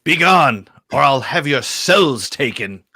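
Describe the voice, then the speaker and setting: A man's voice: mid-range voice, animated, takes it slowly, sharp tone, husky, no echo, studio quality, heavily acted. A man playing a pompous, cowardly male captain very dramatically in a comedic sci-fi table read. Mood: commanding.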